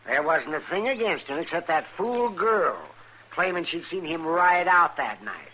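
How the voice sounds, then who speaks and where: A cantankerous, folksy Old West doctor in a radio drama. His American voice is fairly high and swoops up and down with excitable fussiness. A faint hiss sits behind it. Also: unhurried, lo-fi sound. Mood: indignant. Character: crotchety, excitable, good-hearted frontier town doctor. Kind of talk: old-time radio western acting.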